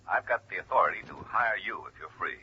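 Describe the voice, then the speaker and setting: A man's voice: lowish voice, animated, quick pace, sharp tone, husky, heavily acted. An actor playing a frustrated male insurance company man in a 1950s radio drama, terse and insistent. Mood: urgent, frustrated. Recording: muffled, noisy old radio recording.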